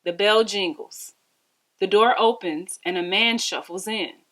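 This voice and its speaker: Lady doing a kids book read aloud, slow measured pace, expressive storyteller. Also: mid-range voice, rough recording. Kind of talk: expressive children's storybook narration.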